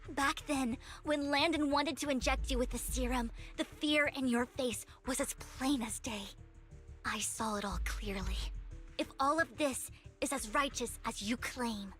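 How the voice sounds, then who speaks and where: Casting: resolute young game heroine, high voice, earnest and firm, pleading edge, light music bed. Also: female voice, measured pace, a bit bright. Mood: insistent. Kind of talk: dramatic anime video game voice acting. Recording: music behind the voice.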